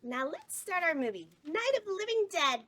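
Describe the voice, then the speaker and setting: A woman's voice: extremely high pitch, sing-song, normal speed, a bit bright, full character voice. A woman playing a campy, sultry horror hostess, brassy and bawdy, at a script table read.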